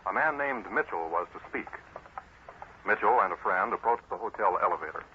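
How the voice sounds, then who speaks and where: A man's voice: lowish voice, expressive, brisk. A man narrating a 1940s-style radio serial as a dramatic, declamatory announcer. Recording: noisy, muffled old radio recording.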